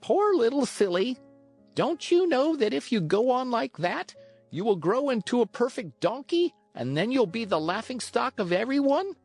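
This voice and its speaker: A narrator voicing a tiny, wise old male cricket in a storybook, with a calm, preachy manner. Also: medium pitch, moderate pace, soft background music, voice pushed up. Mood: pitying.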